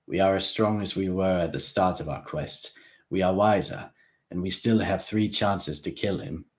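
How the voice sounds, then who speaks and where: A man voicing a calm, grave older male vampire who speaks in a measured way. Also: sits low, natural voice.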